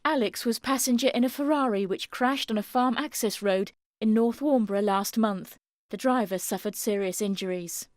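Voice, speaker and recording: A woman's voice: mid-range voice, normal speed, no echo, clean studio sound. A woman narrating a scripted television news report.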